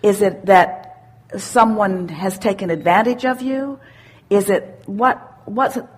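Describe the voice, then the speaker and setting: Woman, mid-pitched, husky, seminar teacher, emphatic, deliberate, repetitive phrasing. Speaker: seminar speaker. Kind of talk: interactive seminar teaching with questions and anecdotes.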